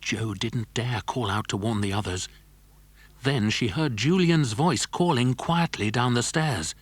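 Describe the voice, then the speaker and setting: A man's voice: medium pitch, measured pace, slightly rough, no room sound. A man narrating a scripted children's adventure story.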